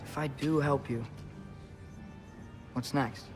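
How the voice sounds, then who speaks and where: A man's voice: medium pitch, fairly even intonation, slow pace, lightly acted. A man playing a curious, wary young hero in a video game, speaking in an earnest, questioning and hesitant manner. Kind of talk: cinematic video game acting. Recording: music under the voice.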